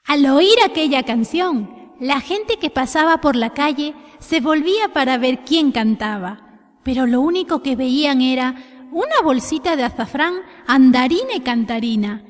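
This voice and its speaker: A woman narrating a scripted children's tale in an expressive storytelling style. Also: high-pitched, unhurried. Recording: long hall reverb.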